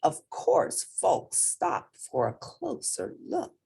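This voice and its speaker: A woman reading a children's picture book aloud as an expressive storyteller. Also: deep voice, slow pace, gravelly, husky.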